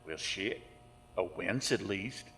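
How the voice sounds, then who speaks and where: Gruff small-town lawman played by a man, audio drama. Sarcastic, lowish voice, heard in long reverb over noise. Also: expressive, slow, a bit bright, exaggerated voice. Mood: dry, testing. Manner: sardonic, folksy, mocking. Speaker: actor in an audio horror drama.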